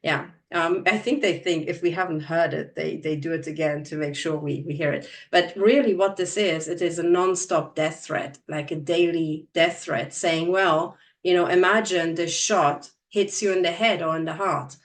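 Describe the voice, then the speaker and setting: A woman's voice: on the low side, fairly even intonation, moderate pace, hardly any pauses, distant mic. Earnest woman heard from a bit away. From Transylvania, Romania. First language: Hungarian. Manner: earnest, repeats words when starting sentences. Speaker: host. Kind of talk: casual interview chat.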